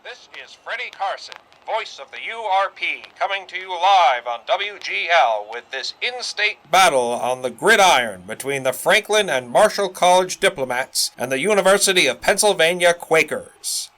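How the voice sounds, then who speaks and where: A man's voice: sits high, expressive, normal speed, hardly any pauses, bright, forceful tone, rough recording. An actor playing a pompous young radio salesman and announcer in a 1920s audio drama, full of showy old-fashioned patter. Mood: announcing.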